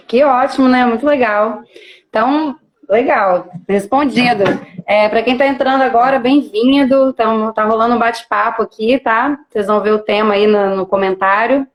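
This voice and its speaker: Uma educadora física que apresenta uma live e fala de forma descontraída e falante. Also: female voice, a little high, normal speed, rough recording. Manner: relaxed, chatty, many fillers. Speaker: physical educator and host. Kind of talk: casual live-stream interview.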